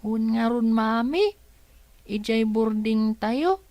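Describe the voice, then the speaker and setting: Radio drama role: chatty, respectful small village boy played by a woman, Ilocano accent, high voice, close dry sound. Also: slow, a little mellow, no room sound. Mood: eager. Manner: chatty, wide-eyed, respectful. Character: bright, curious, polite little village boy.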